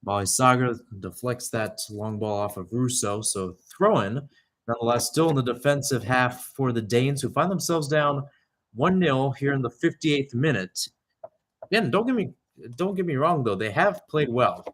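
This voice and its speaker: A man's voice: medium pitch, animated, normal speed, a bit bright. A young man giving live radio-style soccer play-by-play in short clipped phrases, with asides and self-corrections. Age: young adult. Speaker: play-by-play sports commentator.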